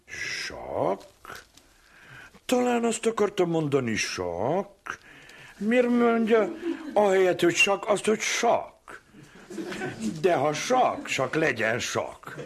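A man's voice: sits high, huge pitch swings, creaky, long pauses, heavily acted. An actor playing a quarrelsome male coffeehouse chess player in a comic radio sketch, mocking and full of wordplay.

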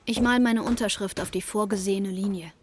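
A woman's voice: mid-range voice, steady tone, a little fast, darkish tone, a little hiss or hum, lightly acted. An actress playing an intense, troubled young woman who narrates her breakdown in a wry, matter-of-fact way in a radio play.

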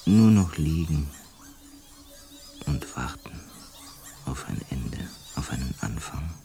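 Very deep, weary lonely man. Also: expressive, mellow, soft background music, full character voice. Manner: halting, reflective, often near whispering. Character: lonely, weary older male lodger, gently melancholic. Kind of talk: German radio play monologue.

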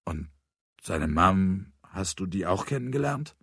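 A calm adult man voiced by a male reader, stern and probing. He asks quietly and evenly in a storybook reading, recorded very dry. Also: deep voice, vocal fry, slightly rough, no echo, exaggerated voice. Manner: quiet, measured questioning. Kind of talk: audiobook character voice.

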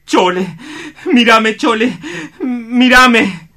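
An actor playing a troubled, intense man in a radio melodrama, with halting, emotional, insistent delivery. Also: very high-pitched, pushed and bright, heavily acted. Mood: frantic, pleading. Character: troubled, intense man tormented by brotherly rivalry. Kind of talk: melodramatic radio play acting.

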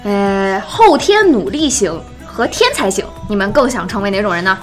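Female host, teasing and chatty, very animated intonation, music bed underneath. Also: mid-range voice, moderate pace. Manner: playful, teasing, echoes guests' answers. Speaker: interview host. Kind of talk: lively casual chat interview. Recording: background music throughout.

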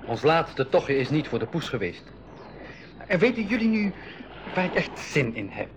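A man's voice: on the high side, big pitch swings, moderate pace. A man playing an eager, polite young boy in a 1980s educational radio play, speaking expressively. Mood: enthusiastic. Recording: slightly dull, noisy 1980s radio recording.

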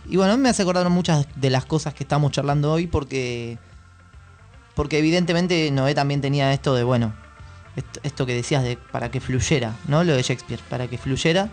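A man's voice: medium pitch, fairly quick, soft background music. A man with an Argentine accent hosting a casual radio conversation, phrasing his thoughts in a hesitant, reflective way. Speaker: radio host.

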